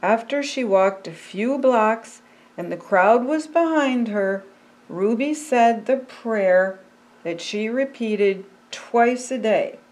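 An older woman, a pastor, reading a children's picture book aloud in a deliberate, expressive storytelling manner. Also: a little high, rough recording. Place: indoors by a fireplace.

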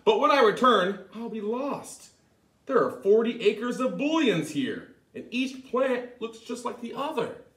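A man's voice: extremely high pitch, sing-song, a little slow, fairly bright tone, pitched above the performer's own voice, exaggerated voice. A man voicing Tom, a clever, eager young man who boasts and gives orders. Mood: worried. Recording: echoey room, mic at a distance.

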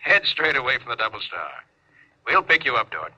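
An actor playing an authoritative, deliberate spaceship captain in a 1950s radio drama. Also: male voice, medium pitch, sing-song, lightly acted. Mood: calm command. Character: commanding, pondering male spaceship captain. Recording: dull, noisy 1950s radio broadcast.

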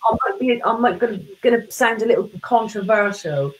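A woman's voice: on the high side, lively intonation, a little fast, slight background noise, low-quality recording. A woman hosting an interview over a video call, talking in a hesitant way with likes and ums. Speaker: interviewer, host. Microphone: webcam on video call.

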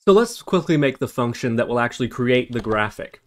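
A man's voice: mid-range voice, animated, brisk. Man narrating a coding tutorial. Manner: explanatory, thinking aloud while typing. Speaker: programming tutorial instructor. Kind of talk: step-by-step screencast tutorial narration.

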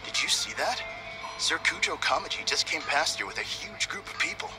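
An uneasy villager from a game, confiding in a rather high voice that swings very widely. Loud game music plays behind him. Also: male voice, wildly swooping pitch, a little fast.

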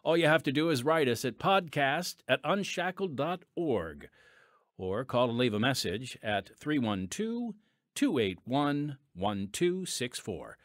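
A man's voice: medium pitch, sing-song, a little slow, no room sound. A man hosting and narrating a scripted radio podcast, addressing listeners earnestly and directly. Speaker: podcast host. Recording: dry studio with music bed.

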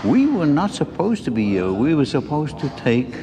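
A man in his sixties chatting casually as host of a travel vlog, in a halting way that repeats phrases. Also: on the high side, huge pitch swings, a crowd in the background. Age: 60s. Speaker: vlog host, roofing contractor. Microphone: clip-on lapel mic. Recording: noisy on-location vlog audio.

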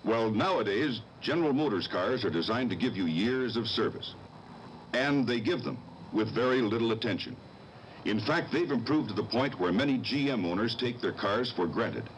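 A man's voice: medium pitch, animated, normal speed. An older man giving a folksy scripted commercial address as a car company spokesman. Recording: faintly noisy 1970s TV tape.

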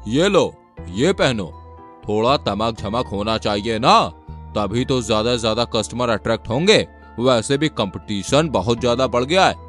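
Pushy, patronising cartoon boss, played by a man, very animated pitch, mid register. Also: a little slow. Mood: disapproving, pushy. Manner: insistent, patronising. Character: pushy middle-aged male bus owner, calculating. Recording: music behind.

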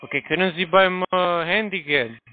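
A man with a foreign accent in non-native German making a polite, halting telephone sales pitch over a phone line. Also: dry recording. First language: not German. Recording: tinny, narrow phone line.

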